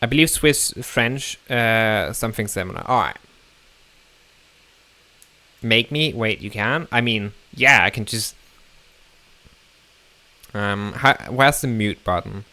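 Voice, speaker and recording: A man's voice: fairly low pitch, lively intonation, slow, pauses often, bright, forceful tone. Man chatting on stream. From Denmark. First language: Danish. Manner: rambling, self-deprecating, stumbles and restarts often. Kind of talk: casual live-stream chat.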